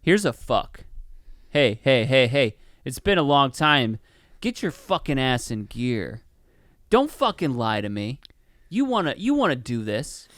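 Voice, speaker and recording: A man's voice: medium pitch, big pitch swings, a little slow, fairly bright tone, dry recording. Casual, slangy man with clean, dry sound. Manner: slangy, profane, riffs in mock quotes. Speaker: podcast co-host. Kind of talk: casual movie review chat.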